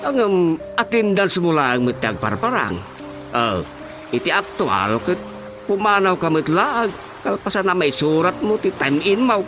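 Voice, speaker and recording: A man's voice: high voice, sing-song, unhurried, over music. A man playing a nosy village official in a comic radio drama, needling and pointed. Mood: accusing. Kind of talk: radio drama comedy acting.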